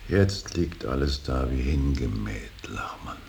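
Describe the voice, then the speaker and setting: A stern, brooding old father in mourning for a solemn radio play. His voice is extremely low and gravelly, with halting, weighty phrasing. A faint hiss lies under the recording. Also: lively intonation, a little mellow, exaggerated voice. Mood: weary, grieving. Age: older. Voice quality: very deep, gravelly. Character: grieving old painter, stern, brooding, bitterly devout father. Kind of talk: slow, solemn German stage-style radio drama acting.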